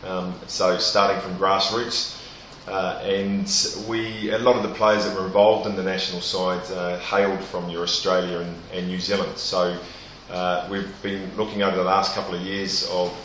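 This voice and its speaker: A man's voice: low voice, level tone, normal speed, few pauses, a bit bright, slight background noise. A man, the team captain, answering interview questions hesitantly with many fillers. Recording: very echoey room.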